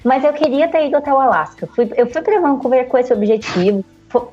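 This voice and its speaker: A woman's voice: high-pitched, expressive, brisk, dark tone, husky. A woman with a Brazilian Portuguese accent chatting casually on a podcast, telling personal stories in an informal way. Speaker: podcast panelist. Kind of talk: casual chat. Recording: dull lo-fi sound, faint music behind.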